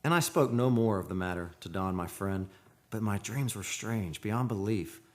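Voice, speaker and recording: A man's voice: fairly low pitch, moderate pace, lightly acted. An actor playing an earnest, lovestruck man who narrates a haunted romance in poetic radio-play style. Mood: haunted, somber. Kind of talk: radio play acting and dramatic first-person narration. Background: music.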